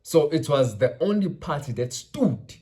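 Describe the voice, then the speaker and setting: A man's voice: fairly high pitch, big pitch swings, a little slow, dark tone. A South African man from KwaZulu-Natal, a Zulu speaker, hosting his channel with loose, rambling political commentary that mixes in Zulu phrases. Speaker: channel host. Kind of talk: casual political commentary vlog.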